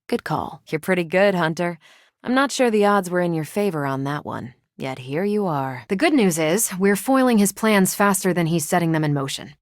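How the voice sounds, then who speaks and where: A woman's voice: lowish voice, no echo, studio quality, close to an everyday voice. A woman voicing a confident, wry young superhero soldier with an American accent, in casual, self-assured video game banter.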